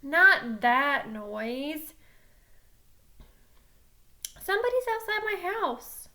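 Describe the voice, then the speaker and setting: A woman's voice: sits high, big pitch swings, extremely slow, pushed and bright, voice pushed up, heavily acted. A woman voicing an annoyed, stubborn middle-school boy who plays video games in a story. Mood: annoyed, loud.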